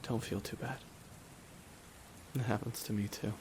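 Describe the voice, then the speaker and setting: A man playing a bitter, weary supervillain in a dramatic ASMR audio roleplay, speaking deliberately with long pauses to a dying nemesis. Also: lowish voice, lightly acted. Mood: wounded, bitter. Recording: steady rain noise behind the voice. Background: rain falling on surfaces.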